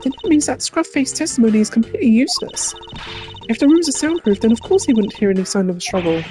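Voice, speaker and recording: Man putting on a high-pitched, spirited young girl. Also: fast talker, soft background music. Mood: impatient, puzzled. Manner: pert, questioning, excitable. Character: spirited, impatient young girl sidekick in detective game.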